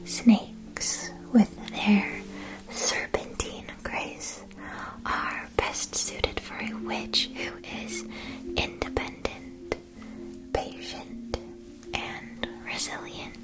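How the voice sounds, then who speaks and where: A woman playing a gentle, attentive witch shopkeeper in a scripted ASMR roleplay, whispering soft, measured, soothing explanations. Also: loud music behind the voice. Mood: calm, attentive. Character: gentle, attentive witch school supply shopkeeper advising a student.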